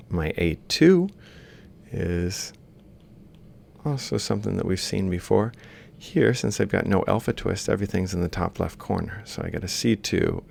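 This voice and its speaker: Male lecturer, low register with heavy vocal fry, informal step-by-step walkthrough. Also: a little mellow, slight background noise. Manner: explains step by step while writing. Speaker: lecturer in robotics. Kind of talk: informal worked-example lecture.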